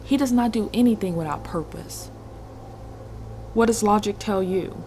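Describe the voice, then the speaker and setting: A woman playing a thoughtful, questioning Romulan woman, speaking in a measured, deliberate way in a sci-fi audio drama. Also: medium pitch, warm tone, slight background noise, natural voice. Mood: earnest, reasoning.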